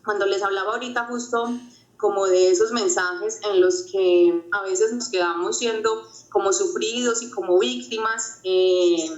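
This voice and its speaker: A woman speaks earnestly and reflectively, circling back over her own words as she shares a personal motivational story. Her voice sits at a middling pitch and rings around a roomy space. The recording sounds dull and slightly lo-fi. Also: fairly even intonation, a little slow. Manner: earnest, reflective, repeats phrases. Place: home during quarantine.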